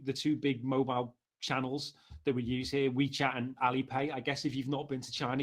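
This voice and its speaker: Liverpool man chatting as an interview guest. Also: mid-range voice. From Liverpool, England. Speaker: interview guest, marketing director. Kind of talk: casual conversational interview.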